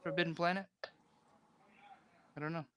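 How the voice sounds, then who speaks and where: Guy in his thirties, kinda high voice, chill rambly livestream talk. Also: steady tone, moderate pace, sharp tone, a little hiss or hum. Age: 30s. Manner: laid-back, rambling, reads chat aloud. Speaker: host of a diorama livestream. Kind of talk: casual livestream chat.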